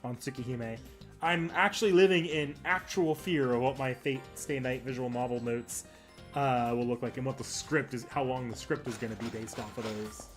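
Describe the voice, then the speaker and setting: A man giving casual gameplay commentary as a streamer, rambling and often halting to correct himself mid-sentence. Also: mid-range voice, steady tone. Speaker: game streamer and host. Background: music.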